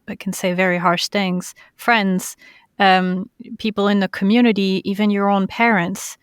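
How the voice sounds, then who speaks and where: A woman's voice: medium pitch, clear, resonant tone, dry recording, studio quality. A woman who is a non-native English speaker, hosting and interviewing on a podcast in a conversational way. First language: not English.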